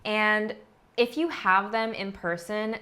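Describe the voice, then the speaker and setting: A relaxed woman narrates her vlog in an easygoing, talkative way, with a mid-range voice for a woman. She explains step by step and drops in casual fillers as she goes. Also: medium pitch, takes it slowly. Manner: relaxed, chatty, frequent "like" fillers. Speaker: artist and vlogger.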